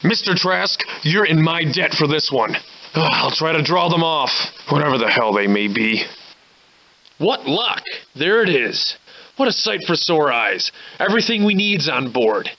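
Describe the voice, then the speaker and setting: A hard-drinking gentleman adventurer from a Victorian video game world. He is wry and weary, speaking in formal, florid, antique phrases with a theatrical edge and a salty tongue. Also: a little high, sing-song, a little slow, gravelly, dull audio, exaggerated voice. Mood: eager. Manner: florid, old-fashioned, formal diction.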